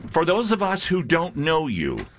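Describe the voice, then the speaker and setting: A man hosting a casual live radio interview, halting as he puts short questions and reactions. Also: a little high, wildly swooping pitch, fairly bright tone, slight background noise. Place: radio studio.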